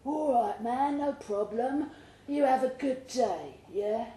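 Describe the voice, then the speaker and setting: A woman's voice: fairly high pitch, slow, warm tone, pitched above the performer's own voice. A woman voicing a shifty, casually menacing male London stranger in a live story, with slangy street talk. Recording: roomy live pub recording, faint background noise.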